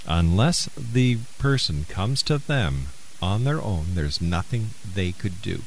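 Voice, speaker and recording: A man's voice: sits low, animated, slow, a little hiss or hum, rough recording. A man hosting a radio interview in a folksy, encouraging way. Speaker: radio host.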